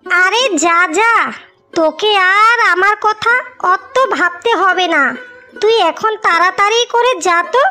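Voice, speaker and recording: A woman's voice: extremely high pitch, a little slow, bright, forceful tone, soft background music, full character voice. A woman voicing a doting, protective young elder sister in a cartoon fairy tale, bossy in a fussing way. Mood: fussing, affectionate.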